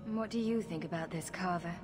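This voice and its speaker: An actress playing a determined young mage in a video game, composed, questioning and drily sceptical. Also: female voice, lowish voice, fairly quick, music in the background, lightly acted. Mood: concerned, resolute. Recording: noisy ambience behind the voice.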